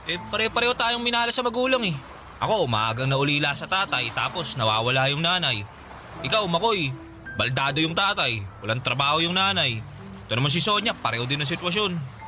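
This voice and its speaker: A man's voice: fairly high pitch, big pitch swings, a little fast, bright, forceful tone, soft background music, heavily acted. An actor playing a wry, brooding young man in a Filipino radio drama, plaintive and philosophical. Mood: gloomy, reflective.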